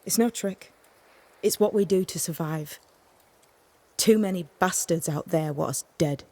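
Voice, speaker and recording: A tough outcast woman guide in a game, lowish voice, blunt, wary, no nonsense. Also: measured pace, dark tone. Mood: defiant. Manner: terse, firm, no-nonsense. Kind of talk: dramatic video game acting.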